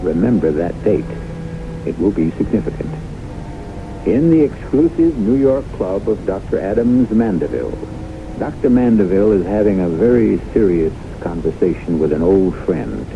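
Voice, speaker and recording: An actor as a grave storyteller-host, narrating a 1970s radio drama in a measured, portentous manner. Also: male voice, expressive, vocal fry. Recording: noisy, rumbling, muffled 1970s radio recording.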